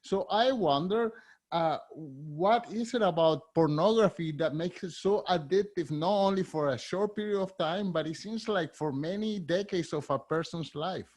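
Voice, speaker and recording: A man in his fifties with a Spanish accent, hosting an interview and asking curious, candid questions with a slightly halting delivery. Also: sits high, darkish tone. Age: 50s. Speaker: podcast host.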